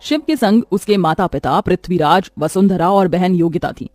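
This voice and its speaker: A woman's voice: on the low side, moderate pace, darkish tone, dry recording, clean studio sound, faint music behind. A woman narrating a scripted moral bedtime story as a dramatised storyteller.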